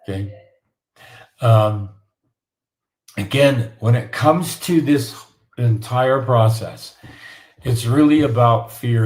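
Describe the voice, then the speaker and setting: A man with a raspy voice from the flu, hosting a live question-and-answer stream and giving casual, folksy advice. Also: medium pitch, darkish tone. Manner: folksy, repetitive coaching tone. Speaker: host of live Q&A on home building.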